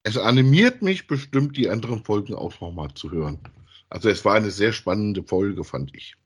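A middle-aged man with a low, husky voice. He co-hosts a podcast and talks in a casual, conversational reviewing style. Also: animated, normal speed. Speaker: podcast co-host. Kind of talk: casual review chat about audio dramas.

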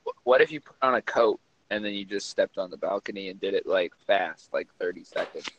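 A young man on a phone call, teasing his friend with playful sarcasm in an animated, casual way. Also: low voice, fairly quick, background noise. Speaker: podcast co-host. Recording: dull, lo-fi phone audio.

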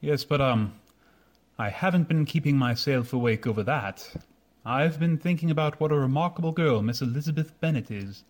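A man playing a proud, reserved young gentleman in a radio drama, speaking formally. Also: on the high side, normal speed, natural voice. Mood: pensive. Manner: formal, restrained. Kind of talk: old-fashioned radio drama acting.

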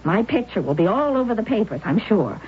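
A woman's voice: medium pitch, sing-song, fairly quick, slight background noise, natural voice. An actress playing Susan, a determined young wife planning to fake her death, narrating and speaking with urgent, persuasive intensity. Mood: commanding. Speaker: narrator and lead character. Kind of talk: 1970s radio drama acting. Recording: tinny narrow old radio broadcast.

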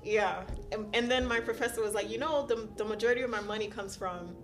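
Animated woman from Haiti telling stories. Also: a little high, fast talker, faint music behind. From Haiti, raised in South Florida. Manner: animated, laughs while telling stories, many "um"s. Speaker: guest, school counselor. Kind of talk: casual interview storytelling.

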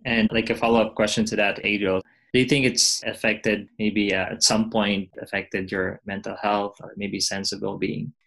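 Moderator, man, lowish gravelly voice, polite and hesitant. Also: little pitch movement, normal speed, hardly any pauses, a bit bright, rough recording. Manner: polite, hesitant, with thanks and follow-up questions. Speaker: panel moderator. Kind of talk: moderating a panel discussion.

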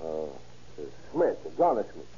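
A man's voice: on the low side, very animated, takes it slowly, mellow, breathy edge, heavily acted. An actor playing a surly wounded male bank robber suspect in an old radio drama, talking in sullen tough-guy slang. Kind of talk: 1930s radio acting. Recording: dull old radio recording.

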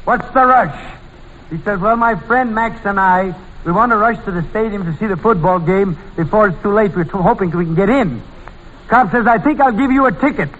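A man's voice: a little fast, a bit bright, big-room sound. An actor playing a talkative man telling gags as a panelist on a 1940s radio comedy. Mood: storytelling. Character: talkative male raconteur pushing his gags. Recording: boomy, muffled old radio recording.

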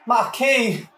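A man playing an alarmed, deferential royal courier in an audio play, halting and urgent. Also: very high-pitched, sharp tone, exaggerated voice. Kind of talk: satirical audio play acting. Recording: echoey, distant from the mic.

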